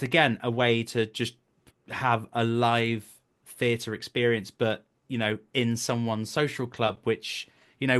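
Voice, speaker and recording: A man's voice: mid-range voice, a bit bright. Chatty British man telling stories. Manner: chatty, explanatory, breaks into brief acted quotes. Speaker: guest, voice actor and stage performer. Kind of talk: casual interview storytelling.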